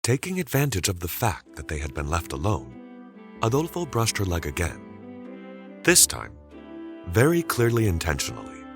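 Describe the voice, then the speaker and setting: A man narrating a scripted dramatic story deliberately, with suspenseful pauses. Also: low voice, wildly swooping pitch, vocal fry, fairly bright tone, faint music behind.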